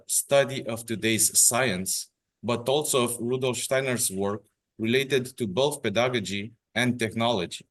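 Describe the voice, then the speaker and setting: A man's voice: mid-range voice, a bit bright. A young man from Romania with a Romanian accent, reading a prepared introduction as a webinar host, careful and a little tense.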